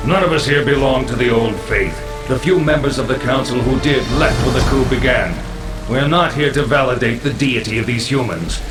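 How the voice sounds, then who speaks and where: Male actor as dismissive alien official, formal, medium pitch, reverberant chamber, strong music. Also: moderate pace, lightly acted. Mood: dismissive impatience. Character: impatient, businesslike male alien council member. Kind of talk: dramatic game cutscene acting. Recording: echoey, music and rain behind.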